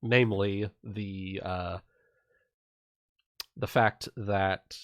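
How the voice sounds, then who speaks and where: A man acting as game master on a casual livestream, explaining and recapping the game in an informal, halting way with some swearing. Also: fairly low pitch, fairly even intonation, no echo.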